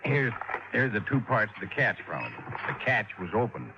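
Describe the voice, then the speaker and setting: An actor playing a methodical, plain-spoken fire chief in 1950s radio drama, explaining things insistently. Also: male voice, medium pitch, sing-song, normal speed, over music. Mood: earnest, explaining. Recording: dull, noisy old radio recording.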